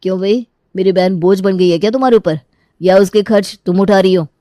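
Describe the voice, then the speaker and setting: A woman's voice: mid-range voice, fairly quick, dark tone, no echo, clean studio sound, pitched below the performer's own voice, lightly acted. A woman voicing a stern, irritable man, a protective brother and dismissive husband, curt and commanding in a family drama. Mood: indignant.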